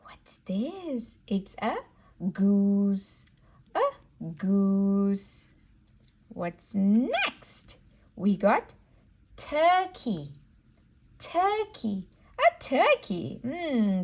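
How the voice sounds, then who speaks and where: A woman's voice: medium pitch, big pitch swings, extremely slow. A woman leading a read-aloud word lesson for toddlers, sing-song and encouraging, repeating words for a small child. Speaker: children's educator and host.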